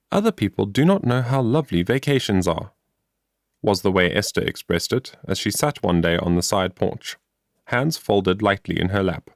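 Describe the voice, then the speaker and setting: A man with a low, slightly gravelly voice reads a story in a measured storybook manner. His intonation moves freely up and down as he narrates, giving the scripted prose an expressive shape. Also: no echo, clean studio sound. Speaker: audiobook narrator.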